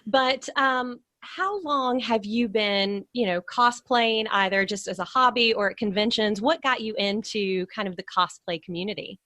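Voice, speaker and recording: A woman's voice: medium pitch, normal speed, few pauses, bright, forceful tone, dry recording, studio quality. A woman hosting a podcast, chatting casually and agreeably with a guest in a supportive way. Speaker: podcast host interviewing a guest. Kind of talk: casual podcast interview chat.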